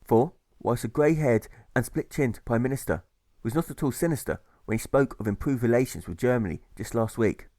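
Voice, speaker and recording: A man reading a scripted rhyming audiobook in rhythmic, measured phrases, landing on each rhyme. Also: mid-range voice, warm tone, dry recording. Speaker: author reading own audiobook.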